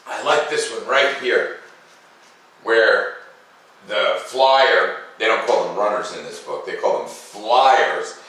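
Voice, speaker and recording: A man giving an expressive, dramatic read-aloud in the manner of a children's storyteller. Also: medium pitch, husky, poor audio. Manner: animated, dramatic delivery.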